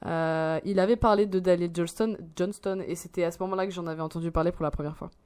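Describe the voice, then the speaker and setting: A woman's voice: on the low side, steady tone, quick pace, a little mellow, smooth. A woman in her twenties, rambling and swearing as she reacts to a video in casual slang. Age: 20s.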